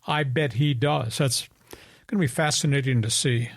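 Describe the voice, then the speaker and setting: Brief: gravelly older man in his seventies, sports radio host, rambling, opinionated, slightly higher pitch, dry close sound. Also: moderate pace, no echo. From New York. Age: 70s. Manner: storytelling, opinionated, rambling with restarts. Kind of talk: sports talk radio chat.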